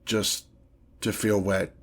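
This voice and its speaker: An ancient lord of chaos acted by a man holds forth in a low voice for an ASMR fantasy roleplay. He takes his time over every phrase, pompous and theatrical. His menace comes with haughty exasperation at petty mortals. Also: little pitch movement. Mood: incredulous, exasperated. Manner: pompous, theatrical, deliberate drawn-out delivery.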